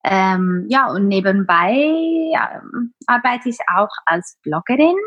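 A young woman in her twenties speaks with a Swiss German accent, in a slightly husky voice. She talks openly and casually, often pausing on filler sounds. The recording is dry and close. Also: mid-range voice, expressive, no room sound, lo-fi sound. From Switzerland. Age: 20s. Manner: open, many filler sounds. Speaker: podcast guest, teacher and blogger. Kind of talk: casual interview talk.

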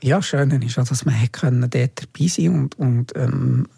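Male interview guest with Swiss German accent, dark husky tone, creaky, halting, reminiscing delivery. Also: mid-range voice, dry recording, clean studio sound. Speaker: interview guest, former radio team member. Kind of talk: casual reminiscing.

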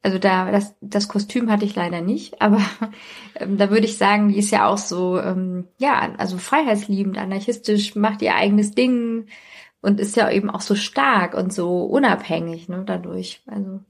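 A woman's voice: medium pitch, moderate pace, gravelly, slightly rough. A woman in casual podcast conversation, talking informally and personally. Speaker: podcast guest or co-host.

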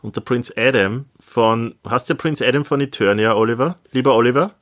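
A man's voice: mid-range voice, a little fast, a bit bright. A middle-aged Austrian man chatting casually as a podcast co-host, reminiscing in an easy back-and-forth.

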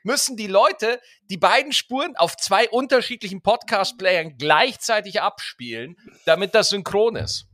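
A man co-hosting a podcast, his rolled R standing out. His voice sits fairly high and swings widely in pitch as he jokes and laughs. The recording is dry and close. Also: moderate pace, few pauses, dry recording. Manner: animated, playful, laughs often. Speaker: podcast co-host. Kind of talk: casual chat. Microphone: studio microphone.

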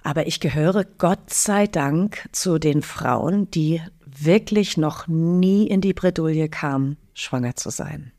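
A middle-aged woman with a slightly low voice talks in an intimate podcast conversation. She hosts in a candid, colloquial way, using everyday spoken phrasing. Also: takes it slowly, hardly any pauses, mellow. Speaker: podcast host.

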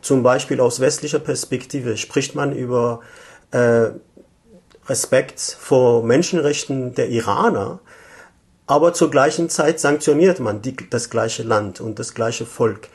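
Iranian-born guy in his 50s, persian first language, gravelly voice, lots of ums and restarts. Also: mid-range voice, unhurried. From Iran. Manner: thoughtful, hesitant with frequent fillers and restarts. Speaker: interview guest, economic consultant. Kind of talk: interview answers.

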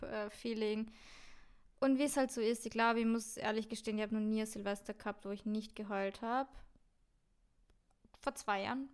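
A woman's voice: a little high, clean tone. A woman, co-hosting a podcast in a casual chat, talking with many fillers and English words mixed in. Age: 20s. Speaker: podcast co-host. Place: Vienna.

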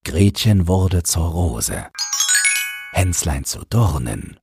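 A man's voice: expressive, very slow, loud music behind the voice. A man narrating a fairy tale from a script, as the storyteller of an audio drama. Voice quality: deep, very husky.

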